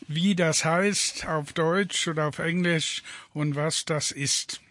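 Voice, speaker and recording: A man's voice: on the high side, fairly even intonation, takes it slowly, a bit bright, no echo. A man hosting a community radio talk show, introducing guests in a studio. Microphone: studio microphone.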